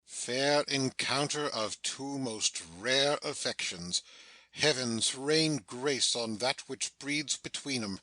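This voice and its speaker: A man plays a knowing old magician watching over his child in a Shakespeare audiobook. The voice is bright and forceful, very dry and close, with slow, deliberate phrasing in fond asides. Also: mid-range voice, no echo, poor audio. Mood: approving blessing. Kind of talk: dramatic Shakespeare audiobook reading.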